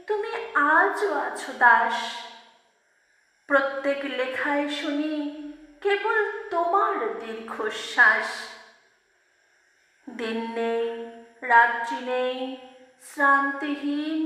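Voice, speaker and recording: A woman reciting poetry dramatically, in a declamatory, deliberate manner with measured pauses between short phrases. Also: sits high, sharp tone. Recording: heavy echo, long reverb.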